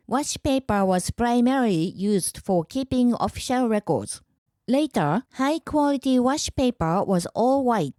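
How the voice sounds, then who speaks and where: A woman from Japan in her thirties hosts a podcast, talking in a calm, carefully enunciated way. Her voice sits a little high yet sounds soft and mellow. The recording is close and dry, with no room sound. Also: no echo. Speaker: podcast host. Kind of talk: scripted explanatory podcast monologue.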